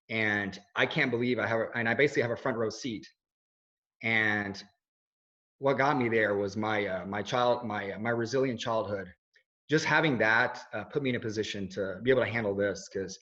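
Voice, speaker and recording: A man's voice: fairly low pitch, fairly bright tone. A man in his thirties, raised in Switzerland, with no accent, speaking as an interviewee in an earnest, rambling way full of restarts and fillers. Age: 30s. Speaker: interviewee, video journalist. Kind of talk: casual conversational interview. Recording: dull lo-fi audio.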